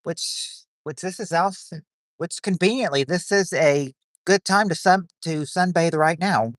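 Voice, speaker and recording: A man's voice: a little high, measured pace, fairly bright tone, dry recording. A man hosting a livestream of a quiz game, rambling as he reads chat and greets viewers.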